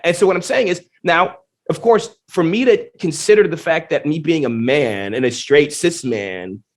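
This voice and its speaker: A man, a genetics professor, speaking in a podcast interview with emphatic, animated explanations full of rhetorical questions. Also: fairly high pitch. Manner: energetic, emphatic, many restarts and rhetorical questions.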